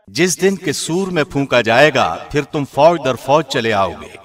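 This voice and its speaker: A man reading a scripture translation in a formal, measured radio-host style. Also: mid-range voice, big pitch swings.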